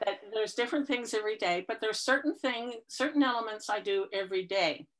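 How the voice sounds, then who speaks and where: A woman with a slightly stuffy, congested voice, chatting enthusiastically as an interview guest, full of fillers and lively storytelling. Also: a little high, a little fast, rough recording. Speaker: interview guest, fitness client. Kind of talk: casual conversational chat.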